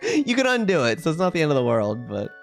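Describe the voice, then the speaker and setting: Animated streamer thinking aloud over music. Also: medium pitch, fast talker, vocal fry. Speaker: video game streamer. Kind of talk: casual live stream chat with viewers.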